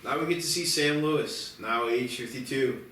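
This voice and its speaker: A man's voice: medium pitch, normal speed, a bit bright, a little hiss or hum. A man reading scripted stage directions as the narrator at a screenplay table read. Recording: very echoey room, distant mic, music behind.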